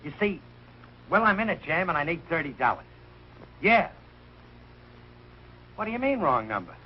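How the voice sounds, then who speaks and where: A man's voice: on the high side, big pitch swings, normal speed. An actor playing a bumbling, good-hearted, hard-up American family man in a 1940s TV sitcom, talking in a plaintive, colloquial, blustery way. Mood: hopeful, flustered. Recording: muffled, noisy 1940s television soundtrack.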